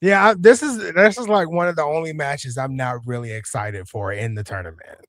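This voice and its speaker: A man's voice: a little high, brisk, no echo. A man co-hosting a casual wrestling watch-along stream, chatty and opinionated, repeating himself between fillers. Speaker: co-host.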